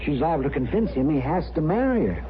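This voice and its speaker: A man acting an excitable, fretful frontier town doctor in an old-time radio western. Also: on the high side, fast talker, background noise. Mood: agitated. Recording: muffled vintage radio recording.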